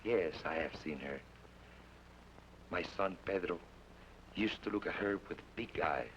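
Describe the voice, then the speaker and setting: An actor playing a calm, fatherly older Mexican innkeeper who speaks slow and measured, in 1950s radio style. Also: sits low. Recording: dull old radio broadcast with hiss.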